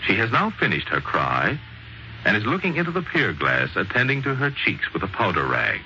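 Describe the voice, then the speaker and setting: A man narrating a story in dramatic 1940s radio style, with a theatrical, wry, measured delivery. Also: lowish voice, huge pitch swings, sharp tone. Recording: muffled, noisy 1940s radio recording.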